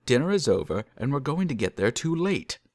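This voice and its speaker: A man's voice: medium pitch, very animated, fairly quick, no room sound. An actor playing a practical, impatient young man urging his friends on in an audio drama.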